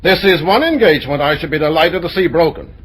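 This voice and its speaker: An actor playing a gruff, blunt, middle-aged businessman father with a pompous, blustering manner in an old radio drama. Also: fairly high pitch, lively intonation, quick pace, fairly bright tone. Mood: grumbling, disapproving. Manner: blustering, pompous, hesitating with ums. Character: gruff, blunt older father and plant owner, disapproving.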